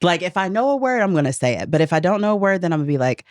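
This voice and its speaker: A woman from the United States hosts a relaxed podcast chat, with a very low voice for a woman. She talks loosely, stretching out her phrases and laughing easily. The recording is dry and close. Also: dry recording. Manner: chatty, laughs often, drawn-out phrasing. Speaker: podcast host. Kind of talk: casual conversation.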